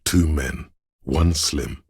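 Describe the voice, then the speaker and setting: A man voicing the brooding, all-seeing spirit of a city in a video game, narrating in a poetic, ominous, hushed way with a gravelly, husky voice. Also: very deep voice, extremely slow, no echo, clean studio sound, heavily acted. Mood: wistful, brooding. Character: brooding omniscient spirit of a city, an inner sense. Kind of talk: literary game narration in second person.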